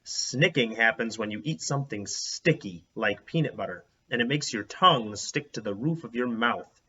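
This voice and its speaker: A man voicing a fussy, bossy schoolgirl who worries about germs, with emphatic drawn-out words in a storybook reading. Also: medium pitch, lively intonation, sharp tone, breathy edge. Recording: dull, lo-fi sound.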